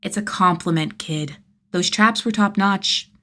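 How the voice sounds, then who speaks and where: Gruff-mannered young male vigilante for a storybook reading, sardonic and sweary, soft spot for kids, female performer. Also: mid-range voice, a little slow, close to an everyday voice. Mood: teasing. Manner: casual, slangy, sweary, teasing.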